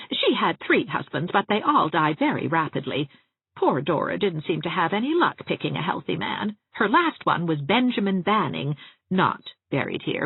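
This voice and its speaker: A woman's voice: lowish voice, breathy edge, no room sound, narrow, tinny audio, pitched above the performer's own voice, full character voice. A woman voicing a ladylike small-town spinster dressmaker in an audiobook, chatting genteelly with relish for grim family gossip. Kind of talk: acted audiobook dialogue.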